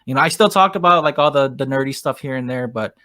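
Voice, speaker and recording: A man's voice: mid-range voice, fast, bright, forceful tone, no room sound. Laid-back male podcast host, dry sound. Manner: laid-back, says man a lot. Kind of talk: casual interview chat.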